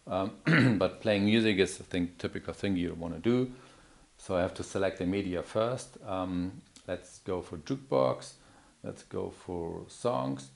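Male demonstrator, deep voice, explaining a product off the cuff, hesitant and step by step. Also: measured pace, vocal fry. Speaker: product demonstrator, account manager. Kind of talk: unscripted product demo. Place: indoor office or showroom.

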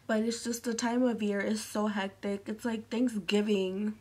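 A young woman vlogging from her bedroom, rambling casually with lots of fillers and soft trailing endings, into a close phone camera. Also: mid-range voice, flat delivery, a little slow. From Massachusetts. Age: young adult. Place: bedroom, indoors. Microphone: phone or webcam at arm's length.

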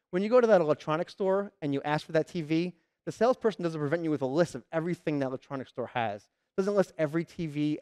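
A fairly high-voiced man in his thirties delivers a keynote from a large conference stage. He tells everyday shopping stories and slips into quick voices for the customer and the salesperson. He speaks into a headset mic. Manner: lively storytelling, acting out customers and salespeople.

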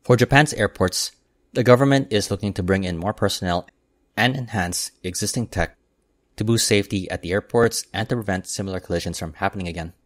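Man, deep low voice, scripted news voice-over, steady measured delivery, dry close recording. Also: dry recording. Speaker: aviation news channel host. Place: voice-over, not recorded in the pictured airport.

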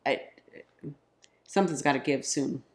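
Woman around forty, little raspy from a cold, easy chatty home vlog talk, lots of ums. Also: a bass voice, measured pace. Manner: relaxed, chatty storyteller with ums. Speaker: vlog co-host. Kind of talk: casual chat. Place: living room, on a couch. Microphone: camera in front.

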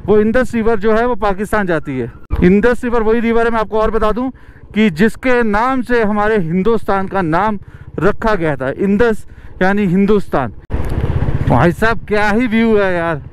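A young man casually narrating a travel vlog outdoors while riding a motorbike, chatty and excited. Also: sits high, moderate pace, smooth, poor audio. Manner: chatty and excited, keeps saying "yaar". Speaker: travel vlogger. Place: outdoors, riding a motorbike through a mountain valley.

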